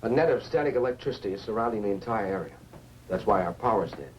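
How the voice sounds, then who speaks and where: An actor playing a thoughtful, analytical scientist, reasoning in a measured way in 1950s science fiction film style. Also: male voice, lowish voice, lively intonation, a little mellow, highs cut off, close to an everyday voice. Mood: sober. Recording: noisy old 1950s film soundtrack.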